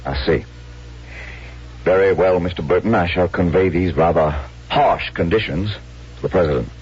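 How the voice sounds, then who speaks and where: A man's voice: low voice, normal speed, a little mellow, slightly rough. A man acting a proud, blunt army colonel in a 1970s radio drama, speaking formally and dutifully. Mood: reserved. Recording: muffled vintage radio broadcast.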